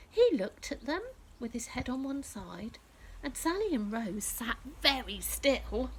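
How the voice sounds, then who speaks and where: A woman reading a picture book aloud to young children, speaking directly to them in an expressive storytelling style that often drops to a hush. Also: fairly high pitch, slight background noise, rough recording. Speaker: children's story reader and presenter.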